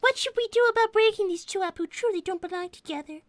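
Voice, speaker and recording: A woman's voice: very high-pitched, quick pace, no echo. A woman voicing a naive young girl rabbit who speaks formally, earnestly and theatrically in an improvised comedy roleplay. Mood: matter-of-fact. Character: Cream, prim naive young girl rabbit, dramatically indignant.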